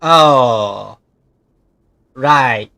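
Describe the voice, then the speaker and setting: A man from Malaysia reacting on a game livestream in loud, playful outbursts. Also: medium pitch, very slow, creaky, dry recording, soft background music. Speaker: game streamer.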